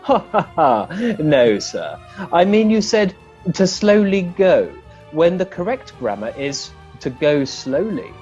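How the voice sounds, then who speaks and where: A man with an English accent playing a courteous, vague, gently pedantic sergeant in a comedy radio play. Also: on the high side, big pitch swings, slow, dull audio, soft background music. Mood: mildly pedantic. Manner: mild, hesitant, courteous.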